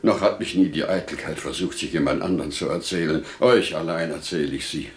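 An actor playing a wise, devout older merchant father in solemn, declamatory, deliberate 1950s radio play style. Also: rumbling low. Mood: grave, confiding. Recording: 1950s radio drama recording.

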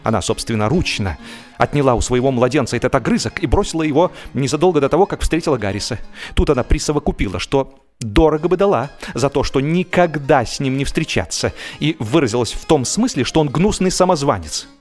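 Expressive male storyteller over music. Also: mid-range voice, fairly quick. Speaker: audiobook narrator. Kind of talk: scripted humorous story narration.

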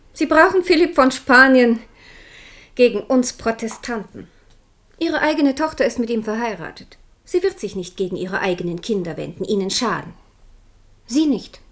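A woman's voice: medium pitch, natural voice. An actress playing a proud, passionate Protestant queen and mother in a 1970s radio drama, indignant and fervent.

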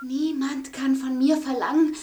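An exasperated wife in a radio drama, declaims in a high voice. She is melodramatic and theatrical, like an opera diva pushed to her limit. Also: steady tone, measured pace, noisy background. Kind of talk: German radio drama acting.

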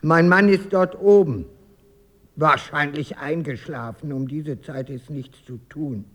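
An actress playing a brisk, matter-of-fact lighthouse keeper's wife in a radio drama. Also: female voice, low-pitched, no room sound. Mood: curious, plain.

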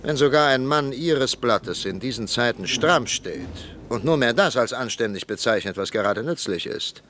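An actor playing a middle-aged Reichstag deputy, blunt and sardonic, in a radio drama. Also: male voice, mid-range voice, lively intonation, normal speed, few pauses, fairly bright tone, a little hiss or hum, close to an everyday voice. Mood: stern. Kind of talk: 1960s radio drama acting.

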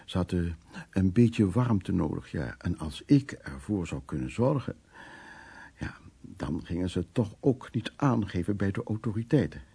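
A low, very gravelly man tells of his past in a radio drama monologue. He is cynical and worldly, wry and coarse, with a dark, raspy tone. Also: expressive, unhurried, heavily acted. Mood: coarse, mocking.

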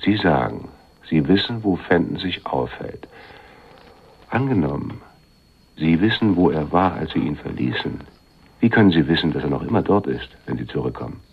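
An actor playing a menacing, coolly businesslike man in a German radio crime drama, formal, deliberate and drily sardonic. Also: very deep voice, expressive, gravelly, slightly rough, exaggerated voice. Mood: probing, businesslike. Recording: dull, muffled radio recording.

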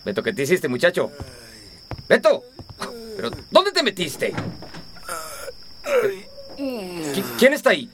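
An actor playing a middle-aged hostel owner in a radio soap opera, jovial in public and indignant in private. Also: male voice, sits high, wildly swooping pitch, slow, pauses often, background noise, heavily acted. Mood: alarmed, angry. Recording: music bed behind radio drama voices.

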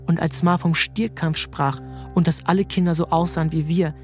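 A man narrating a literary story in a calm, measured way. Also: a little high, soft background music. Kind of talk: scripted narration.

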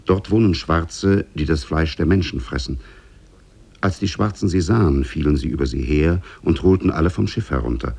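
A man narrating an Arabian Nights tale in a 1950s radio play, measured and deliberate. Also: very deep voice, vocal fry, a little mellow, slightly rough, exaggerated voice.

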